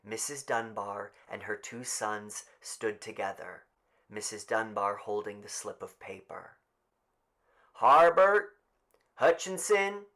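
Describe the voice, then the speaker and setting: A man's voice: on the low side, level tone, unhurried, a bit bright. A man narrating a scripted story as an audiobook reader.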